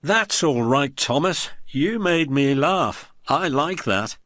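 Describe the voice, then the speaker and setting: A man's voice: on the high side, expressive, slow pace, fairly bright tone, dry recording, studio quality, pitched above the performer's own voice. A man voicing a big, proud male express engine in a storybook, hearty and theatrical. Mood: rueful.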